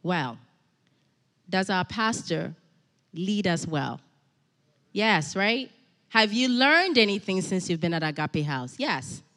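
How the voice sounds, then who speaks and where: A middle-aged woman preaches in an animated, emphatic way, repeating her key lines for effect. Her voice is bright and forward. A long reverb trails after her words, as if she stands in a big church hall. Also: mid-range voice, slow pace, pauses often. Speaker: guest preacher. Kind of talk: sermon with personal asides.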